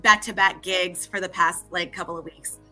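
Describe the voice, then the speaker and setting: A hoarse woman chatting casually as a podcast guest, laughing often as she tells stories. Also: fairly low pitch, steady tone, moderate pace, pushed and bright, faint music behind. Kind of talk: casual chat. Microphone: remote call mic.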